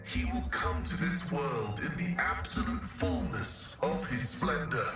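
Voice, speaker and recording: A pious robot tech priest for a game, formal preachy, metallic filter, distant with music behind. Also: male voice, mid-range voice, bright, forceful tone, full character voice. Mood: devout. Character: pious male cyborg tech-priest of the machine god. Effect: metallic voice modulator. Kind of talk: scripted video game dialogue. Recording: heavily echoing, distant processed game audio.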